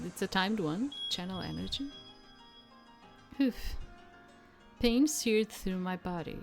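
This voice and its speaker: A woman game streamer with a slightly low voice reads a fantasy visual novel aloud. She takes it slowly and dramatically, dropping into casual asides, with music behind her. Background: soft game music.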